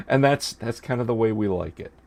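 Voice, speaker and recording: A man's voice: mid-range voice, normal speed, gravelly, a little mellow. A man hosting a casual sports podcast, chatty and tossing questions to his co-hosts with many fillers. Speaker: podcast host.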